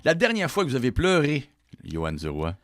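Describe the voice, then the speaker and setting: Animated radio host. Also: male voice, mid-range voice, fairly quick, no echo, studio quality. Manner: playful, teasing. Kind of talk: radio interview, rapid-fire questions.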